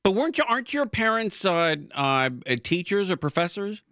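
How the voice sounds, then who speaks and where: Chatty man with bright, lively voice. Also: sits high, normal speed, clear, resonant tone, no echo, clean studio sound. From Southern California. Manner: rambling storyteller with many fillers and restarts. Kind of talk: casual conversation.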